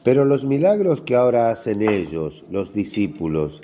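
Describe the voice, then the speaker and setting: Swooping, suspicious Pharisee. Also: male voice, on the low side, big pitch swings, moderate pace, warm tone. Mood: suspicious, probing. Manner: questioning, insinuating. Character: probing, suspicious male Pharisee. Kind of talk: religious radio drama acting.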